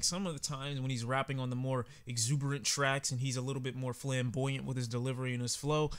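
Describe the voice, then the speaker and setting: A man's voice: fairly high pitch, a bit bright, clear, resonant tone. A man reviewing an album in a casual, candid way, talking conversationally with frequent filler words. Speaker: music reviewer. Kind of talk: casual album reaction commentary.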